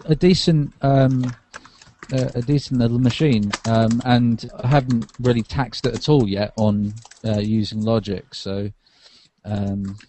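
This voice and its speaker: A man's voice: medium pitch, steady tone, unhurried, mellow, slight background noise. A tired man, a podcast co-host, chatting casually about tech while lying on a sofa.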